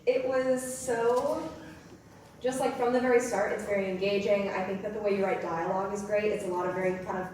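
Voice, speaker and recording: Female audience member, mid pitch, animated and informal, recorded from far off. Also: a little fast, slight background noise. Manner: animated storytelling, quoting own thoughts. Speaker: audience member giving feedback. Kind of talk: casual spontaneous feedback. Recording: very echoey room, distant mic.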